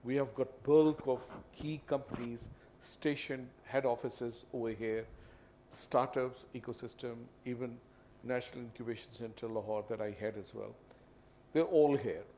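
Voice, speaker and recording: A man's voice: medium pitch, fairly even intonation, warm tone. Pakistani guy on a panel, mid voice, keeps saying you know, bit of noise behind. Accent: Pakistani English. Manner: halting, with frequent "you know". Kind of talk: panel discussion. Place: event hall. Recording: faint background noise.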